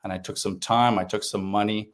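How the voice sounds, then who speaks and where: A man's voice: on the low side, steady tone, fairly quick. A man from Puerto Rico whose first language is Spanish, a guest reflecting in an interview with frequent restarts and fillers. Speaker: podcast guest. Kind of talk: conversational interview.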